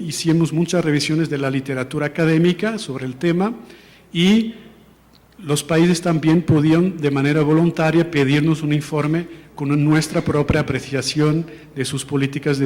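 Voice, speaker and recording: A man's voice: fairly high pitch, a little mellow. A man from Portugal with a Portuguese accent, giving a formal report presentation with careful, hesitant phrasing and frequent self-corrections. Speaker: presenter of an education policy report. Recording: long reverb of a large hall.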